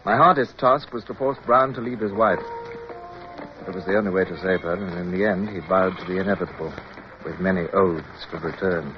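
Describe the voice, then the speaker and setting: Male, commanding naval officer played by an actor, lowish voice, formal and crisp, dull vintage radio sound. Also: a little fast, natural voice. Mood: sombre, tender. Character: resolute British naval officer, commanding yet tender, recounting his war. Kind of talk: radio drama acting and first-person narration. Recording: muffled, noisy old radio recording.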